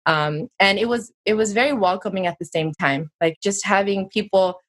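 A woman's voice: mid-range voice, moderate pace, a bit bright. A woman in her thirties, a guest speaking in a chatty, reflective way with many fillers. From United States. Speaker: interview guest. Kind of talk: casual interview talk.

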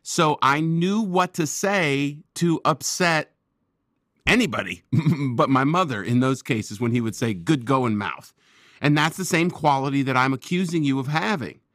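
A man's voice: mid-range voice, expressive, a little slow, fairly bright tone, no echo. Talkative male podcast guest, big voice, dry close-miked sound. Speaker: podcast guest, improv performer. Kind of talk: casual chat.

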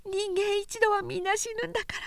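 A woman's voice: very high voice, fairly even intonation, brisk, gravelly, fairly bright tone, no echo, voice pushed up, exaggerated voice. A woman reading a story, voicing an anguished, drunk young woman in a thin, wavering, old-fashioned feminine voice. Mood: drunken resignation.

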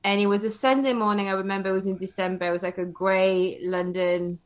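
A woman's voice: mid-range voice, level tone, a little fast. Chatty woman in her forties from Belgium. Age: 40s. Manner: chatty, laughs often, many fillers. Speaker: podcaster guest. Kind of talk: casual interview.

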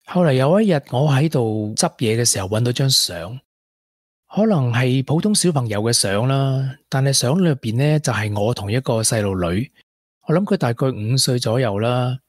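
A man's voice: mid-range voice, expressive, moderate pace, no room sound, close to an everyday voice. An actor as a regretful Hong Kong man, dry close sound. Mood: wistful. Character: regretful young ex-tech prodigy, confessing to a woman. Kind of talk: Cantonese radio drama acting.

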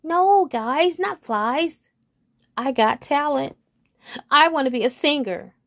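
Woman storyteller doing a young frog dreamer, bright and high, nervous stammer. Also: animated, takes it slowly, heavily acted. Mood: eager. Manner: eager, stammering when nervous. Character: young male frog, shy but hopeful dreamer.

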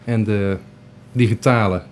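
A man's voice: on the low side, steady tone, unhurried, a little hiss or hum. A man, an organist, introducing himself and his instrument in casual interview talk. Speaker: Hammond organist.

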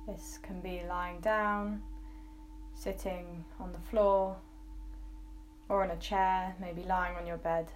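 A woman leading a guided meditation, giving soft instructions in gently coaxing phrases with pauses. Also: fairly low pitch, flat delivery, a little hiss or hum.

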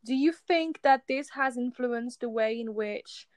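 A woman hosting a podcast interview, enthusiastic and encouraging, with somewhat halting questions. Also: fairly high pitch, no room sound. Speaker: podcast host.

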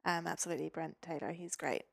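A woman's voice: sits low, fast, vocal fry, no room sound, studio quality. A woman from Norway chatting informally as a podcast guest, in a casual, chatty way. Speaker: podcast guest, physiotherapist and weightlifter. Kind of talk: casual interview chat.

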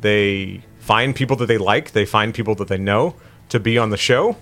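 A man casually chatting and joking while playing a video game on a podcast, reacting loudly to the screen. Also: on the low side, normal speed, faint music behind. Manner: jokey, animated reactions. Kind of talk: casual chat while gaming.

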